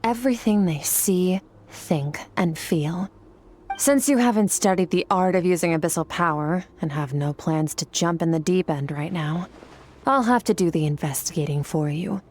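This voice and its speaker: A woman's voice: fairly low pitch, normal speed, a little mellow, a little hiss or hum, natural voice. A woman voicing a cool-headed, aloof mentor in a video game, who speaks in a curt, businesslike way. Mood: explaining.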